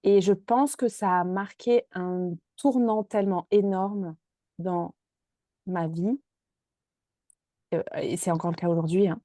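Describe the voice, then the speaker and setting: A woman's voice: on the low side, steady tone, takes it slowly, pauses often, mellow, no room sound, clean studio sound. A woman in her twenties from Marseille, talking hesitantly with many fillers as a podcast guest. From Marseille, France. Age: 20s. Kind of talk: casual interview chat.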